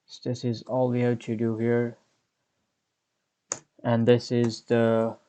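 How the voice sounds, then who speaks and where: A man's voice: medium pitch, monotone, dark tone, clear, resonant tone. A man in his twenties hosting a programming tutorial, explaining code step by step as he types. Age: 20s. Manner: unhurried, explains step by step while typing. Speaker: coding tutorial host. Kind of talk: screen-recorded programming tutorial.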